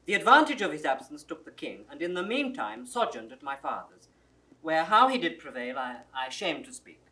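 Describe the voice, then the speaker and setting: An actor playing an earnest, aggrieved younger brother, pleading formally and insistently in classical drama style. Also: male voice, a little high, very animated, moderate pace, sharp tone, rough recording. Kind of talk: 1960s classical Shakespeare audio drama acting.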